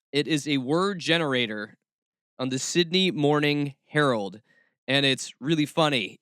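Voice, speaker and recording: A man's voice: mid-range voice, a bit bright, clean tone, no room sound. A man hosts a podcast in a close, dry recording with a middle-range voice. He talks casually through his commentary, dropping in wry and sarcastic asides. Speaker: podcast host. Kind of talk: casual talk show commentary.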